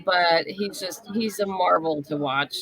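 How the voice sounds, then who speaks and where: Creaky-voiced woman in casual conversation. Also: fairly low pitch, a little slow, a bit bright, low-quality recording. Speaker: interview guest, writer. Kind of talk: casual chat.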